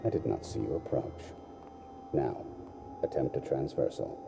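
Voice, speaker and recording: An actor playing a stern, measured assassin instructor in a video game, with a gravelly voice and formal, commanding delivery. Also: male voice, noisy background, heavily acted. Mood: instructive, stern. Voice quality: gravelly, very deep. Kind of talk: scripted game dialogue. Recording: game dialogue under music.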